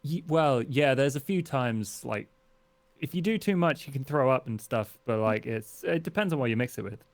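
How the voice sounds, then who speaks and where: A man playing a clueless, easygoing young stoner vampire in a tabletop game, with a casual, halting manner. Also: medium pitch, expressive, no echo, natural voice. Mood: nonchalant. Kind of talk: in-character tabletop roleplay.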